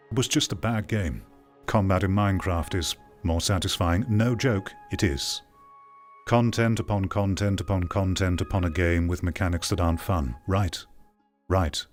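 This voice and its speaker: A man in his thirties giving blunt, off-the-cuff critical commentary as a streamer, feeling out his phrasing as he goes. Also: deep voice. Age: 30s. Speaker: streamer reacting to a video.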